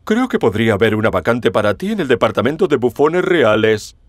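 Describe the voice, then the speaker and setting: Man playing a smug, animated prince. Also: medium pitch, a little fast, no room sound, natural voice. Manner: smug, mocking. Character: smug mocking elder prince, vain. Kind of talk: cartoon fairy tale dialogue.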